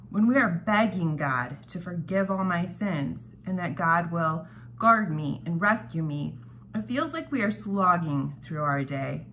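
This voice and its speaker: Lady reading a devotional out loud at her dining table, some chatty asides, bit of noise behind her. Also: on the low side. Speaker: devotional host reading aloud. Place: dining room at home. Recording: home recording with clear background noise.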